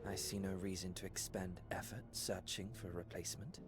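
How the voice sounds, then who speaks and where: Game role: male actor as a haughty, brooding bodiless man, grave and formal, fairly deep, with music clearly playing behind him. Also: little pitch movement, a little fast, lightly acted. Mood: indignant, proud. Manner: grave, indignant, formal archaic speech. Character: proud, brooding man turned small, bodiless being. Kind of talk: video game cutscene acting.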